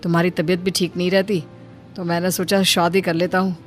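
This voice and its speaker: A woman's voice: lowish voice, level tone, fairly quick, soft background music, close to an everyday voice. A woman voicing a patient young son. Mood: explaining. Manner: patient, reasoning. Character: dutiful working son around thirty. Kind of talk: cartoon voice acting.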